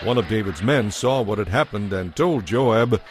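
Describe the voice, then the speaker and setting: A man narrating a dramatized audiobook in a measured, solemn storytelling manner. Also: on the low side, lively intonation, a little hiss or hum. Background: faint music.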